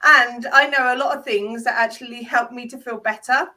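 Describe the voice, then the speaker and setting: Bright-voiced congested British woman host. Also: fairly high pitch, steady tone, moderate pace. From Britain. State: congested with hay fever. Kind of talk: casual live video chat.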